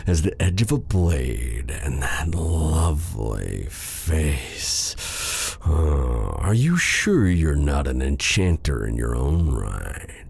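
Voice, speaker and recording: A man playing a possessive, silky dark sorcerer in a theatrical fantasy ASMR roleplay, drawing out courtly endearments with quiet menace. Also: rumbling low, vocal fry, slightly rough, heavily acted. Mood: seductive, possessive.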